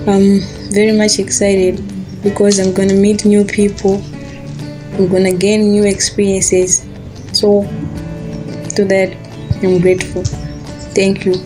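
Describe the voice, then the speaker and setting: A young woman from Malawi speaks in short, careful phrases. Her delivery is very slow and her tone barely moves. Plucked guitar music plays clearly behind her. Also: medium pitch, completely flat delivery. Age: 20s. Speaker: student reporter.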